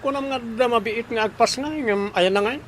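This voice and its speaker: A man's voice: extremely high pitch, fairly quick, slight background noise. A man with an Ilocano accent playing a shady accomplice to child abduction in a radio drama. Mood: conspiring. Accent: Filipino, Ilocano.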